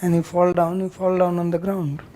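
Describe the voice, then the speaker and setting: A man's voice: sits high, completely flat delivery, normal speed, warm tone, a little hiss or hum. A man teaching scripture as a religious teacher, bluntly correcting and explaining Sanskrit terms word by word. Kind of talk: verse-by-verse scripture teaching with questions and answers.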